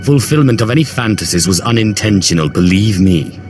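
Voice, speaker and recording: A man acting a coolly haughty dark sorcerer from a video game. The voice is fairly low with sweeping, arch inflections and a dry sardonic edge. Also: animated, brisk, lightly acted. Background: faint music.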